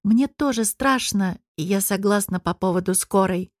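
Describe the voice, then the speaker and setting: A woman narrates a novel in the first person with expressive delivery. Her voice sits at an average pitch for a woman. The sound is dry, with hardly any room to it. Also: moderate pace, dry recording. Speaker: audiobook narrator.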